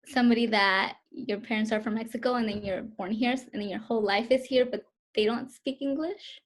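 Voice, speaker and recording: A woman's voice: fairly high pitch, fairly even intonation, a little fast. A woman in her twenties answering interview questions over a video call, hesitant and full of fillers. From Southern California, US. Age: 20s.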